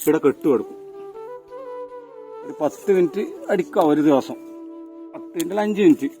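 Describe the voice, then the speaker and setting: Higher-pitched man, Kannur Malayalam accent, music behind. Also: expressive, a little fast, mellow. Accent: northern Kerala (Kannur) Malayalam. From Kannur region of Kerala, India. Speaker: toddy tapper. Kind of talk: informal hands-on explanation of a trade. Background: instrumental background music.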